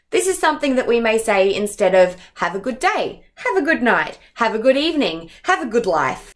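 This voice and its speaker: A woman's voice: on the high side, fairly bright tone. A young adult woman from Australia with an Australian accent, explaining things in a dry, wry vlog monologue. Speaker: vlogger.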